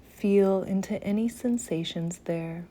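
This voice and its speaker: A woman guiding a meditation in a soothing, gentle manner, softly breathy at phrase ends, with long pauses between short phrases. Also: medium pitch. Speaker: yoga nidra meditation guide. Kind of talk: slow guided meditation.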